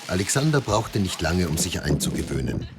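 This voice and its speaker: A man with a very low voice narrates a sports feature. His reading moves with lively swings in pitch. Steady noise runs under the voice-over. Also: brisk. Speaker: documentary narrator.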